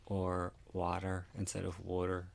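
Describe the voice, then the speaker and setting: A man from Philadelphia with a South Philly accent, giving a casual, rambling and halting film commentary full of candid asides. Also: deep voice, completely flat delivery, creaky, slight background noise. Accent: South Philadelphia American. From Philadelphia, United States. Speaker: podcast host.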